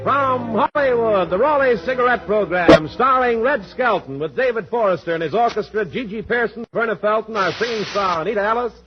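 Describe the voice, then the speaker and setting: A man's voice: high voice, animated, brisk, hardly any pauses, over music. An American man announcing and playing straight man on a 1940s radio comedy show. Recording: noisy lo-fi 1940s radio broadcast.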